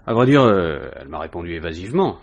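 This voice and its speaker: An actor playing a dry, methodical police inspector questioning someone in a 1950s French radio mystery. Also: male voice, sits low, big pitch swings, fast, dull audio, exaggerated voice. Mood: probing, dry. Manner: matter-of-fact, questioning.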